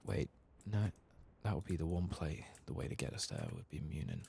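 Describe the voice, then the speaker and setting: A soft-spoken man giving rambling ASMR commentary as he plays a game, sometimes dropping into a whisper. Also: rumbling low, wildly swooping pitch, normal speed, vocal fry, breathy edge. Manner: rambling, musing to himself; often drops into whispers. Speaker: host of a gaming playthrough channel.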